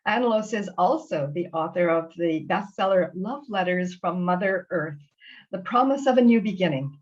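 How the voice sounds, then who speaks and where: A woman hosts an interview over a video call, her voice sitting on the low side and sounding keen and earnest. She sometimes stumbles and restarts as she talks. The call audio is muffled and lo-fi. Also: expressive, moderate pace. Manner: enthusiastic, with frequent restarts and fillers. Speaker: interview host. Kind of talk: warm conversational interview.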